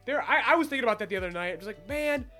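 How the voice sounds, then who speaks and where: A woman chatting casually as a podcast co-host in an excitable, emphatic, heavily sweary way. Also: a little high, racing, faint music behind. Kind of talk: casual chat, fan discussion.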